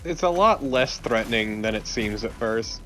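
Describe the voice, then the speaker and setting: A man's voice: medium pitch, expressive, normal speed, a bit bright, faint music behind. A man co-commentating on a video game in casual, wry banter, often questioning and arguing. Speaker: let's play co-commentator.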